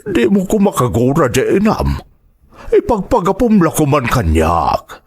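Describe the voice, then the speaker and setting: A man's voice: on the high side, huge pitch swings, unhurried, slightly rough, exaggerated voice. A man acting an aggrieved, protective father in a radio drama, emotional, with an Ilocano Filipino accent. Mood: pleading, concerned. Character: aggrieved, protective father, a middle-aged husband.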